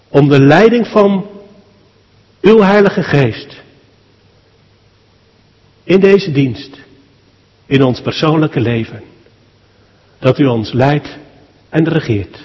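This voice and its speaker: Male pastor, slightly high voice, very unhurried, solemn prayer in measured fragments. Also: a little mellow, dull audio. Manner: solemn, measured, in short phrases with deliberate pauses. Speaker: pastor leading a funeral service. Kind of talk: spoken public prayer at a church thanksgiving service. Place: church. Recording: long church hall reverb.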